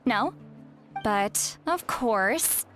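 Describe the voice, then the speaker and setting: A woman's voice: on the high side, animated, very slow, fairly bright tone, soft background music, exaggerated voice. An actress playing a haughty, teasing, young-looking elder woman in a video game, with theatrical, sardonic phrasing. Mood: composed, defensive.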